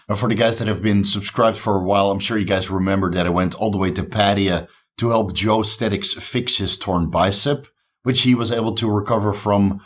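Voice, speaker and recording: A man from the Netherlands with a Dutch accent and a slight speech impediment, talking casually and upbeat to his viewers as a YouTube host. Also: lowish voice. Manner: upbeat, encouraging. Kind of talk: casual talk to camera.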